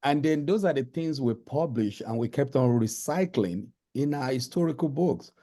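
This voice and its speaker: A man speaks as a panel guest in a mellow, relaxed tone. He is earnest and pauses to search for the right words. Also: medium pitch. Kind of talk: panel discussion.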